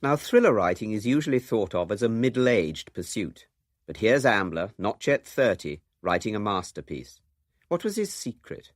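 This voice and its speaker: A man's voice: on the low side, big pitch swings, normal speed, dry recording, studio quality. A man presenting a scripted radio documentary.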